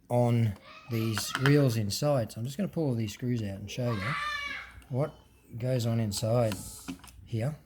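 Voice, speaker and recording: A man's voice: medium pitch, noisy background. A man casually explaining a hands-on teardown, talking off the cuff with frequent ums and restarts. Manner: unscripted explaining with fillers and restarts. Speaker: hobbyist presenter. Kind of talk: casual show-and-tell teardown.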